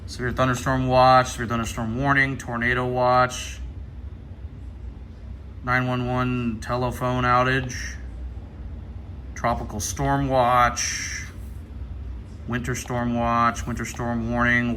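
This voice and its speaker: A man's voice: medium pitch, completely flat delivery, takes it slowly, long pauses, pushed and bright. An American man giving casual hands-on unboxing commentary, thinking aloud as he mutters through menu items. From Ohio, United States. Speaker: radio hobbyist presenting an unboxing. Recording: clear background noise.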